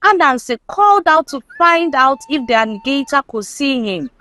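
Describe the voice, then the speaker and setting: A woman reading a folktale aloud as a storyteller, in a measured, expressive storybook delivery. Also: sits high. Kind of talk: scripted folktale narration read aloud.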